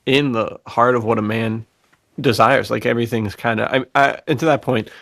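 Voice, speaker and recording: A man co-hosting a podcast, chatting informally and reflectively in a halting way. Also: mid-range voice, fairly even intonation, vocal fry, a bit bright, no room sound, clean studio sound. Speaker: podcast co-host. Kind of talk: casual podcast chat.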